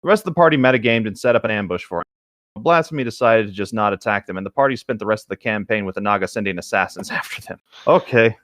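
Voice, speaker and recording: A man's voice: lowish voice, animated, fast talker, fairly bright tone, dry recording. A man casually reading a tabletop gaming story aloud as a host, in an informal, slightly exasperated way.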